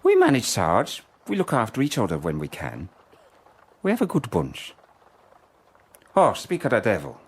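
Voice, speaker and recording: A man's voice: on the low side, huge pitch swings, normal speed, pauses often, husky, full character voice. A man acting a cynical, fatalistic First World War private in a radio drama, with a blunt, colloquial manner. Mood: dutiful. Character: cynical, fatalistic WW1 private soldier in the trenches.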